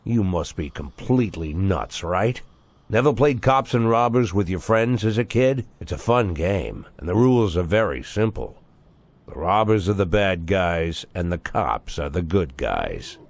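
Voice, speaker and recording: An actor playing a sardonic, menacing police boss in a game cutscene, delivering mocking, measured speeches full of rhetorical questions. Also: male voice, low-pitched, sing-song, dry recording, heavily acted. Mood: mocking menace. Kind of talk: dramatic video game cutscene acting.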